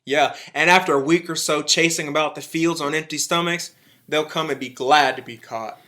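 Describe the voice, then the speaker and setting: A man's voice: on the high side, steady tone, normal speed, sharp tone. An actor playing an embittered, zealous militiaman who survived an alien invasion, in a radio drama, speaking in emphatic, folksy, clipped phrases. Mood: scornful.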